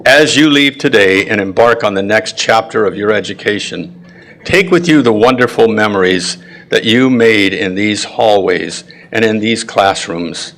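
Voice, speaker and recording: A man's voice: lowish voice, a bit bright. Man giving an encouraging, proud ceremony speech. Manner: encouraging, proud, deliberate phrasing; frequent throat clearing. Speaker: school administrator giving a ceremony address. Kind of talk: prepared ceremony speech with personal anecdotes. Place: school promotion ceremony, speaking to an audience.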